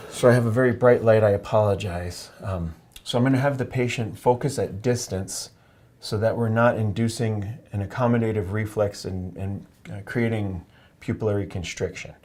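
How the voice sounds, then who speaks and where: Low-voiced man explaining an eye exam. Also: moderate pace, darkish tone. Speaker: clinician demonstrating an eye exam. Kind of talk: instructional demonstration, semi-casual.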